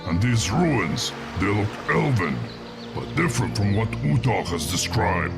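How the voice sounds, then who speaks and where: A man playing a vengeful orc warrior commander in a fantasy game, speaking in a grave, deliberate manner. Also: fairly low pitch, very animated, creaky, loud music behind the voice, full character voice. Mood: grave, wary.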